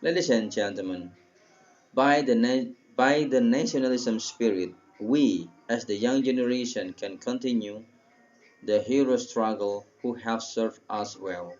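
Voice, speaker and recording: A man with an Indonesian accent delivers a formal prepared speech in a deliberate, halting, oratorical way. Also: mid-range voice, a little mellow, highs cut off, soft background music. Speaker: speech presenter.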